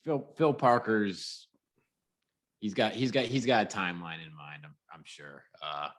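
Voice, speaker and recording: A man co-hosting a casual podcast, earnest and halting with lots of fillers. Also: fairly low pitch. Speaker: podcast co-host. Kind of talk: casual chat.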